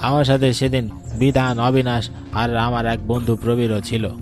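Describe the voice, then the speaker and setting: A man acts an older village uncle in a cartoon horror story. He is grave and solemn, a seasoned hand with spirits giving warnings. His medium-pitched voice sits over prominent music. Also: natural voice.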